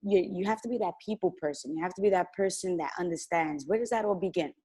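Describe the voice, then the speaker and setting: A woman's voice: lowish voice, quick pace, warm tone, husky. A woman hosting an interview, asking long and eager questions that ramble. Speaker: host.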